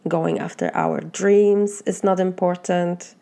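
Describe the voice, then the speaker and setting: A woman from Poland with a Polish accent, speaking as a life coach in a motivational talk and asking slow, earnest, reflective questions. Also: on the low side, steady tone, warm tone.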